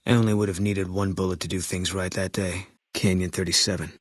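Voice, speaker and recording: An actor playing a grim, haunted former soldier and sniper in a video game, speaking in terse, clipped, guarded lines. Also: male voice, deep voice, little pitch movement, fairly quick, no room sound. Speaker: companion character. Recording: dry studio sound.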